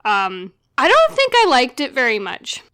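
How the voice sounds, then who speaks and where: A woman's voice: sits high, huge pitch swings, a little slow, pushed and bright, smooth, no echo. A woman co-hosting a casual film podcast, thinking aloud and trailing off as she works out her views. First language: not Portuguese. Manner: reflective, thinks aloud with restarts. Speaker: podcast co-host.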